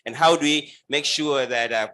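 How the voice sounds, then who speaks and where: A man from Uganda leads a panel discussion in a bright, forceful voice. He stumbles and repeats words as he hands over to the next speaker. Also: medium pitch, normal speed. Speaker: panel moderator.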